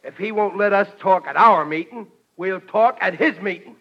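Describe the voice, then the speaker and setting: A man's voice: high-pitched, normal speed. An actor playing a scrappy, scheming middle-aged working-class American man in an old-time radio comedy, with brash, slangy speech. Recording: muffled, hissy vintage radio recording.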